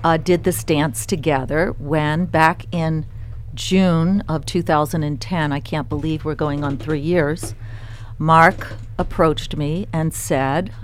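A woman guest on a radio show, calm and dryly teasing. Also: low-pitched, slow, a little hiss or hum. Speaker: guest, group organizer. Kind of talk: radio interview. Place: radio studio.

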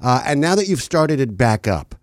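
A man hosting a casual interview, friendly and joking, with frequent halting restarts. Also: medium pitch, big pitch swings, no room sound, studio quality.

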